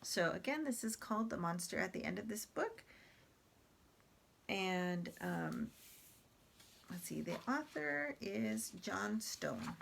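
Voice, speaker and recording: A woman reading a picture book aloud in a chatty, hesitant way, pausing to explain the pictures. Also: medium pitch, animated, vocal fry, slight background noise. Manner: chatty, hesitant with many fillers. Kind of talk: casual read-aloud with commentary.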